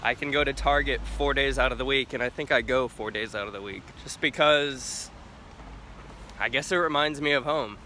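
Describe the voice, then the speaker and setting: A young man in his twenties talking casually to a handheld camera, relaxed and rambling, outdoors and later in a small cabin. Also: mid-range voice, normal speed, slight background noise. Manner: relaxed, rambling, self-amused. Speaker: cruise ship musician vlogger. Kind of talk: casual vlog chat. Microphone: phone camera at arm's length.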